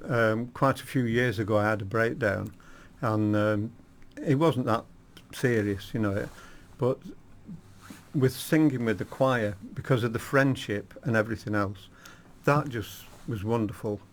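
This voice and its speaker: A man in a choir, a guest in a radio studio, speaking plainly and hesitantly with many pauses. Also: fairly low pitch. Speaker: choir member.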